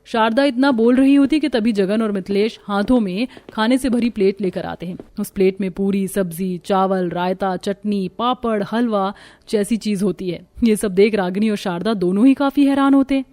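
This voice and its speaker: A woman's voice: medium pitch, moderate pace, mellow. A woman narrating a scripted family story in a dramatic storytelling style.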